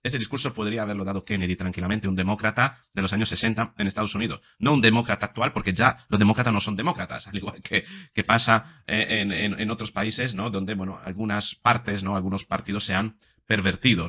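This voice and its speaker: Commentator, male, 40s, accent of Spain. Lowish, bright voice, explanatory and conversational, close-miked in a home studio. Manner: explanatory, often adding question tags. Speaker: commentator, psychologist. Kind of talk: casual speech analysis to camera. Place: small home studio room. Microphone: desk microphone up close.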